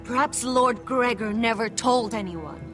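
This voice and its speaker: An actress playing a calm, probing wildling woman in a video game, with music underneath. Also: on the high side, natural voice. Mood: wary. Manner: measured, probing.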